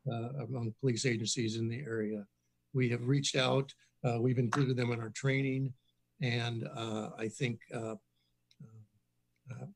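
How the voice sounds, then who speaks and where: Halting older man, police chief. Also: medium pitch. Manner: halting, many fillers. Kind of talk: formal meeting remarks.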